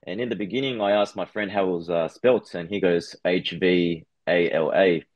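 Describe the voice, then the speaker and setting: Low-voiced man, dull lo-fi audio. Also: moderate pace, no room sound. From Australia. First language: Japanese. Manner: hesitant, restarts phrases often. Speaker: guest. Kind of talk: casual interview over a call.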